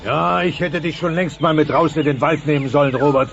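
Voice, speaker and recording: A man's voice: a little high, a little fast, vocal fry, faint music behind. An actor playing a grumpy, indignant middle-aged dinosaur father, theatrical and bossy, in a dubbed comedy. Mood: lecturing. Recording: dull cassette transfer with noise.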